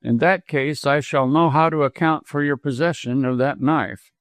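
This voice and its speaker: A stern gentleman doctor from a storybook, played by a male narrator. He is curt, protective and commanding, with a fairly high male voice. The sound is dry and close. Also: moderate pace, no echo, close to an everyday voice. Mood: firm.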